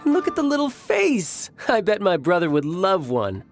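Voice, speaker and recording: A cocky young adventurer from a video game, smooth-talking and playful. His pitch moves freely as he teases, over a faint bed of music. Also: wildly swooping pitch, normal speed, full character voice. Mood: delighted. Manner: playful, teasing, self-assured. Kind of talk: game cutscene acting.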